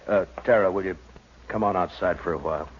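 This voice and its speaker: A man's voice: low-pitched, a little fast, lightly acted. A man playing a stoic, weary, authoritative US marshal in a 1950s radio western, terse and grave in both narration and dialogue. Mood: tired, reassuring. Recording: muffled, noisy old radio broadcast.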